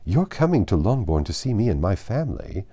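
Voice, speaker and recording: Guy reading as a polite but stubborn young lady in a classic novel, cool and measured, lilting pitch. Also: very animated, dark tone, slightly rough. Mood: cool disdain. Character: composed, coolly defiant young gentlewoman, polite but unyielding. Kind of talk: audiobook character voice.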